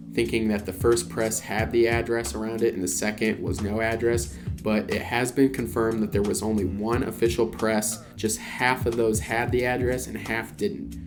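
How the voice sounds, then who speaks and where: A man walks through his collection in a relaxed, conversational way, his voice sitting low and staying fairly flat. Background music runs clearly underneath the whole time. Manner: laid-back, conversational, frequent "you know" and "kinda". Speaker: collector vlogger. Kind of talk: casual explainer vlog.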